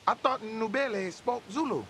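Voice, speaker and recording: An actor playing a middle-aged hustler uncle in a film, jovial and full of himself. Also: male voice, sits high, normal speed, fairly bright tone, slight background noise. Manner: jovial, know-it-all, chuckling.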